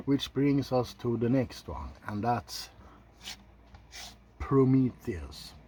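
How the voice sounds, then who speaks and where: A man's voice: mid-range voice, very animated, takes it slowly, dark tone, slight background noise. A man hosting a casual ASMR video, giving blunt opinions in an informal commentary. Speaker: video host.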